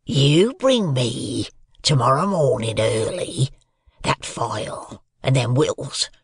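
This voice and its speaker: A man voicing a menacing, ragged convict in a storybook, with slow, drawn-out rustic threats. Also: medium pitch, animated, husky, dry recording, studio quality, heavily acted.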